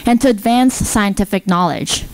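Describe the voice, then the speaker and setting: Woman giving a measured, dry-sounding talk. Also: medium pitch, no echo. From born in South Korea, grew up in the Philippines. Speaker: research scientist. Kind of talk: prepared conference talk. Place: indoor stage. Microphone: handheld microphone.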